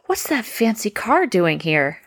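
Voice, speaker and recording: A woman's voice: medium pitch, big pitch swings, a little slow, dry recording, studio quality, voice pushed up. A woman voicing a curious little boy in a bedtime story, full of questions and amazement. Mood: amazed, puzzled.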